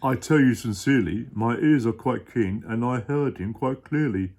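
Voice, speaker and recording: A man's voice: mid-range voice, normal speed, a little mellow, natural voice. Man voicing a big kind male elephant, earnest, pleading, storybook reading. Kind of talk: reading a picture book aloud.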